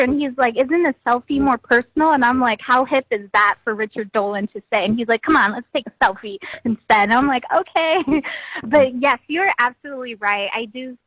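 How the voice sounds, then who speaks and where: A young woman calling in to a radio show, chatty and excitable, peppering her talk with "like" and laughing often. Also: sits high, no room sound, low-quality recording. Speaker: caller. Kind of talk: phone call to a radio show.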